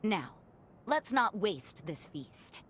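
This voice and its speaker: A woman's voice: medium pitch, big pitch swings, slow pace, slight background noise. An actress playing a confident woman warrior in a game cutscene, wry, flirtatious and affectionate with a comrade. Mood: warm, hopeful. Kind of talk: dramatic game cutscene dialogue.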